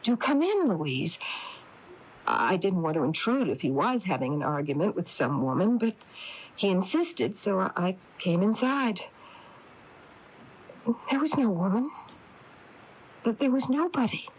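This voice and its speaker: A woman's voice: medium pitch, big pitch swings, unhurried, long pauses, slightly rough. An actress playing an uneasy small-town woman recounting a strange visit in a 1980s radio drama. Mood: uneasy, earnest. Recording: dull lo-fi taped radio broadcast.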